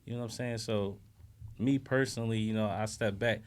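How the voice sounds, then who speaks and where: A man's voice: fairly low pitch, steady tone, a little fast. A man with a Philadelphia accent talking casually as an interview guest, in slangy speech peppered with "you know" tags. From South Philadelphia, US. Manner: slangy, frequent "you know what I'm saying".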